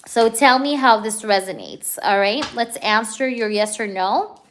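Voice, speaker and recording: A woman doing a live tarot reading for a viewer. She talks in a chatty, energetic way, full of "you know" and "okay", and keeps checking whether things make sense to the viewer. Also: fairly high pitch, unhurried. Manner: addresses the viewer directly, asks if things resonate. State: hyper, energetic. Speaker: tarot reader.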